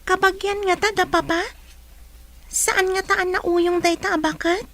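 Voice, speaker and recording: A woman's voice: extremely high pitch, fairly even intonation, unhurried, fairly bright tone. A woman playing a curious, trusting young village child in a radio drama, childlike and inquisitive. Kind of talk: Ilocano radio drama acting.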